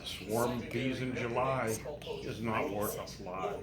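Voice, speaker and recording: A man reading nursery rhymes aloud to his child in a sing-song, unhurried way, pausing and repeating lines. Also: fairly low pitch, echoey room, over a noisy crowd.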